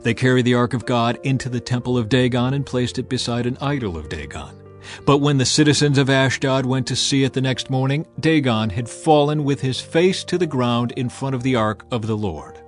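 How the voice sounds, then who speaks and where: A man's voice: medium pitch, a little fast. Solemn man narrating scripture over music. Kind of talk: dramatized scripture audiobook narration.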